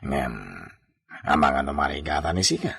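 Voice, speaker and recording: A man's voice: very deep voice, moderate pace, a bit bright, breathy edge, full character voice. An actor playing a suave, wealthy businessman friend in a radio drama, drawling theatrically and sprinkling in Spanish words. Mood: suave, scheming. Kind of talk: Ilocano radio drama acting.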